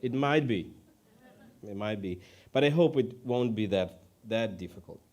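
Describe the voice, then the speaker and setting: A man from Ukraine with a Ukrainian accent preaches informally in English as a second language, halting and self-deprecating. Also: fairly low pitch, animated, a little mellow. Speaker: guest preacher. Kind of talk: informal sermon.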